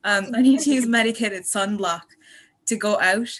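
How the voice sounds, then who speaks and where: A woman in her twenties from Malaysia talks casually as a podcast contributor. Her voice sits at a middle pitch, and her way of talking is chatty, effusive and rambling. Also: normal speed, fairly bright tone. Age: 20s. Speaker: podcast guest and student contributor.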